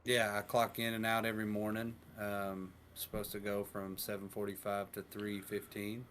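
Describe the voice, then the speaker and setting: Young man, low-pitched, relaxed and rambling, casual podcast talk, faint hiss behind. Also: normal speed. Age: 20s. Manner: relaxed, rambling, works through numbers aloud. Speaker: teacher and coach.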